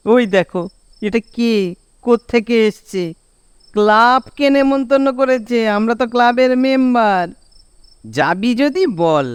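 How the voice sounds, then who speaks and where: A man's voice: very high voice, animated, a little slow, clear, resonant tone, dry recording. A man with a Bengali accent voicing a bossy, scolding young village friend in a cartoon, with a nagging, exasperated manner. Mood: mocking. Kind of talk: animated cartoon dialogue.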